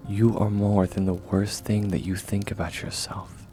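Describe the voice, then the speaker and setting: A man playing a gentle, steadfast young swordsman mentor in a comfort ASMR roleplay, speaking softly and reassuringly, at times close to a whisper. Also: on the low side, little pitch movement, soft background music, lightly acted. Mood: gentle, encouraging. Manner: soft, reassuring, measured, often near whisper.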